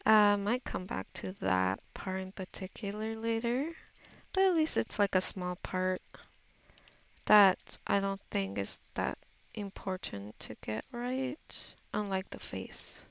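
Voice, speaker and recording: A woman's voice: mid-range voice, slow, vocal fry, smooth, low-quality recording. A woman giving a casual voice-over tutorial on her art, thinking aloud in a musing, hesitant way as if half talking to herself. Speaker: digital artist giving a tutorial.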